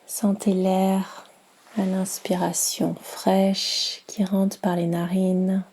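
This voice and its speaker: A woman guiding a yoga nidra meditation in a soft, soothing, unhurried manner with long pauses. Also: medium pitch, little pitch movement, breathy edge. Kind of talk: guided meditation.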